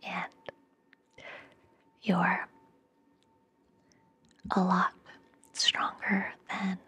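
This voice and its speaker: A young woman whispering gently and reassuringly in a soothing, breathy ASMR style, close to the microphone. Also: on the low side, monotone, very slow. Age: young adult. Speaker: ASMR podcast host. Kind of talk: ASMR, soothing guided relaxation and affirmations. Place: indoor room, close up. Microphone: fluffy-covered microphone very close to the mouth.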